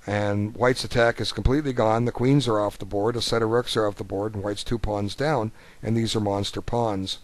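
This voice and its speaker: A man's voice: on the low side, steady tone, moderate pace, few pauses, no room sound, rough recording. A man giving informal chess commentary as a coach, talking in a rambling, conversational, folksy way. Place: voice-over of an on-screen chess board.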